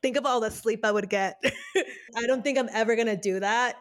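Giggly, higher-voiced woman chatting casually. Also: brisk. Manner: giggly, gushing, slangy. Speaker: podcast co-host. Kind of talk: casual chat with tarot card readings.

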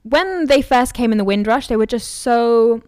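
A woman's voice: a little high, moderate pace, a little mellow, smooth, no room sound, studio quality. A woman interviewer talking in a casual, conversational way with fillers like "like" and "um".

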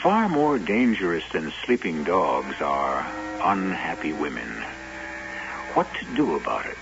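A man's voice: low voice, sing-song, a little slow, gravelly. A man introducing a radio mystery drama with dry, theatrical wit. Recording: dull old radio broadcast with music.

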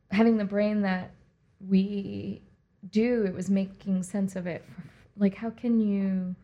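A woman's voice: mid-range voice, vocal fry, warm tone, muffled recording. A woman who grew up in India and Puerto Rico, with Hindi as her first language, speaking as a guest in an interview in a reflective, rambling way with many fillers. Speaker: guest, artist and director. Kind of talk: conversational interview.

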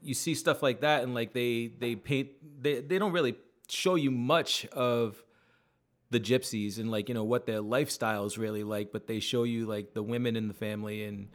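A man's voice: mid-range voice, steady tone, normal speed. A man co-hosting a casual talk show, rambling loosely with lots of fillers and restarts. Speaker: podcast co-host. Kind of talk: casual chat.

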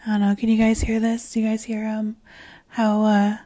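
A woman hosting her own podcast in a casual, rambling solo chat, her voice raspy and hoarse as she loses it, with plenty of fillers and restarts. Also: on the high side, completely flat delivery, normal speed, dark tone, dry recording. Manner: swears casually. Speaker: podcast host.

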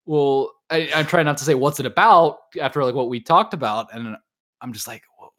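A man's voice: medium pitch, a little fast, fairly bright tone. A man hosting a podcast, chatting casually and prompting his guest in an informal, encouraging way. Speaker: podcast host.

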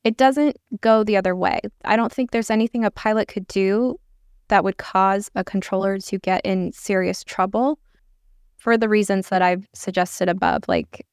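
A woman's voice: medium pitch, steady tone, moderate pace, clear, resonant tone, no room sound, clean studio sound. A woman giving candid, informal explanations as an interview guest. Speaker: podcast guest, air traffic controller and pilot. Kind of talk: conversational interview answers.

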